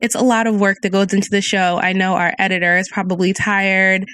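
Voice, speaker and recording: A woman's voice: mid-range voice, little pitch movement, fairly quick, a bit bright, clear, resonant tone, no echo, clean studio sound. A woman hosting her own podcast in a friendly, chatty way, with a soothing voice and a slight speech impediment. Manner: friendly, conversational, reads listener reviews aloud. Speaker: podcast host. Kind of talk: solo podcast episode, chatty.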